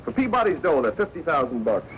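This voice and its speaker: Wry american newspaper boss from old noir movie, talks fast, commanding, hissy sound. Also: male voice, a little high, very animated. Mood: determined, wry. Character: brisk, tough, wisecracking male newspaper editor. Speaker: actor in a crime film. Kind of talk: 1940s film noir acting. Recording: muffled 1940s film soundtrack with hiss.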